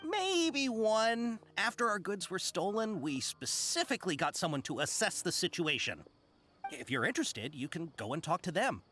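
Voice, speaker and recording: Anxious merchant, bright and animated. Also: male voice, high voice, normal speed, soft background music, full character voice. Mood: eager. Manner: hesitant, apologetic, eager to please. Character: anxious, deferential male caravan merchant. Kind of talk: video game character acting.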